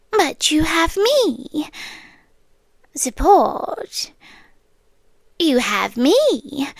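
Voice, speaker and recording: A high, breathy British female voice played by a woman as an obsessive, inhuman girlfriend in an ASMR roleplay. She drifts between soft speech and whispers, sweetly condescending and quietly threatening. The sound is close and dry. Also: no echo, exaggerated voice. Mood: coaxing, possessive. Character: possessive yandere girlfriend, inhuman nest-dweller, softly menacing. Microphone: close microphone.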